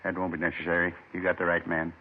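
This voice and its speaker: An actor playing an earnest, resigned American robbery suspect in a 1950s radio drama. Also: male voice, low-pitched, completely flat delivery, quick pace, a little hiss or hum. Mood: guarded, resigned. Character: remorseful, earnest male drunk turned robbery confessor. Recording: tinny 1950s radio recording.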